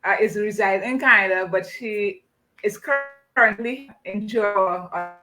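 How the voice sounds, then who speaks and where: Female live-chat host with a Trinidadian accent. Crisp, bright tone, effusive casual delivery. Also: mid-range voice, a little slow, faint music behind, rough recording.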